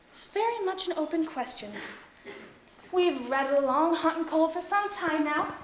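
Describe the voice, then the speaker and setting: High-voiced, dramatic housemaid acted by a woman, echoey room. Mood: chatty, philosophical. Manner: dramatic, emphatic, gossipy. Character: chatty, fretful housemaid who turns into an exasperated, disgruntled actress. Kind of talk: theatrical stage monologue. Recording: echoey room with faint noise and clicks.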